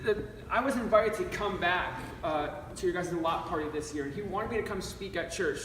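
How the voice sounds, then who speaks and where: A man's voice: sits high, fairly quick. A young man, a magician, giving a casual, joking church talk without a microphone while doing a card trick, heard from a distance in a small church room. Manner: loud and chatty, joking with the audience. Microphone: no microphone, recorded from a distant camera. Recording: echoey room from far off, noisy.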